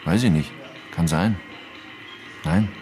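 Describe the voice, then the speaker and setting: A man's voice: sits low, takes it slowly, over music. An actor playing an evasive, irritable man under questioning in a German radio drama, talking in a curt, slangy way. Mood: defensive, evasive. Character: defensive, evasive man questioned about dead classmate.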